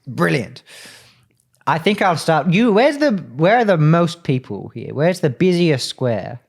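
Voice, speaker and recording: A man's voice: on the high side, very animated, measured pace, gravelly. A man playing a tabletop game, improvising in character in casual, short, punchy phrases.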